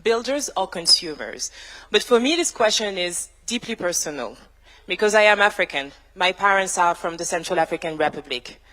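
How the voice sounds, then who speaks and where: A woman's voice: lowish voice, lively intonation, pushed and bright. Woman speaking in a big hall. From France. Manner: measured, emphatic, speaks in rhythmic lists. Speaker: tech founder, panel speaker. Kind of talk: prepared keynote-style panel answer. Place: large university hall, on stage. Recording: reverberant large hall.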